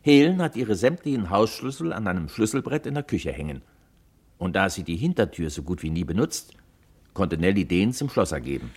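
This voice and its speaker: A well-to-do husband in a radio crime drama. His low, husky voice swings expressively as he speaks with worried, polite hesitation. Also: big pitch swings, brisk, darkish tone, full character voice. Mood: earnest, thoughtful. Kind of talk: German radio crime play acting.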